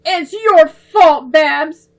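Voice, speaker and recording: A woman's voice: sits high, extremely slow, pitched above the performer's own voice, exaggerated voice. A woman voicing a timid, anxious little girl who tends to whine. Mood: accusing. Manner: timid, whiny.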